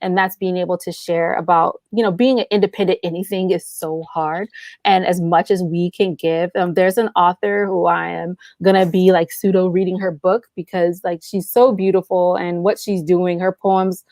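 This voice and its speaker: A woman's voice: on the low side, steady tone, normal speed, a little mellow, dry recording. Enthusiastic American woman in a dry recording. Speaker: podcast guest, audio drama writer and producer. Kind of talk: casual conversational interview.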